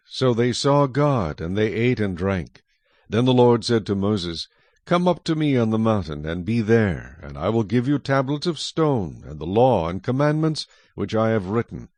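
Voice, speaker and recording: A man narrating scripture in a measured, solemn, scripted style for a dramatized audio Bible. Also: fairly low pitch, a little mellow, no room sound.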